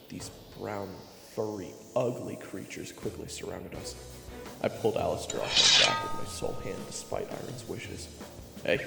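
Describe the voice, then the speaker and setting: Gravelly, hot-headed swordsman narrator, a man acting. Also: deep voice, full character voice. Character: Xander, hot-headed, loyal male hook-handed swordsman narrator. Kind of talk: first-person fantasy story narration. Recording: echoey, reverberant, music underneath.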